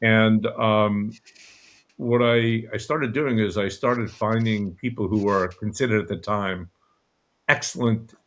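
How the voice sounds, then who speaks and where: Older male guest, slightly low voice, conversational, halting reminiscence on an online call. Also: steady tone, fairly bright tone.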